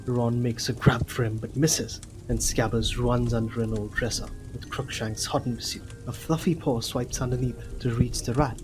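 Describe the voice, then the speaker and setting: A man narrating a scripted audio drama as a measured, descriptive storyteller. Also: medium pitch, fairly even intonation, background noise, lightly acted.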